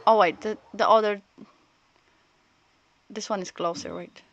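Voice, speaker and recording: A woman live streamer chatting casually while playing a story game, rambling and halting as she thinks out loud, with game music behind her. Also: medium pitch, fairly even intonation, highs cut off.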